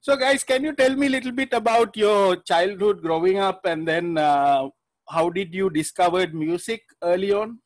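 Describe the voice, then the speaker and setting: A man hosts a relaxed podcast chat with a light, high voice. He is friendly and easygoing, filling pauses with "uh" and laughing softly. The sound is dry and close. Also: no room sound. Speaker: podcast host. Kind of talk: casual interview. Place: Manila.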